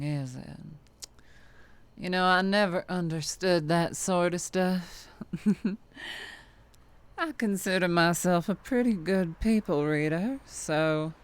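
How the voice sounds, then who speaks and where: A woman playing a tall, teasing, predatory vampire trucker with a Southern US accent and a flirtatious drawl, in an ASMR roleplay. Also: lowish voice. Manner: slow teasing drawl with chuckles and pet names.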